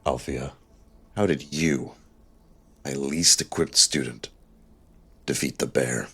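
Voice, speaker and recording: A man voicing a gruff, battle-weary, protective mentor in an audiobook, with a growling, blunt delivery and a gravelly rasp. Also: rumbling low, steady tone, slow, a bit bright, pitched below the performer's own voice, heavily acted. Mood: blunt, grudging. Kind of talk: audiobook character dialogue.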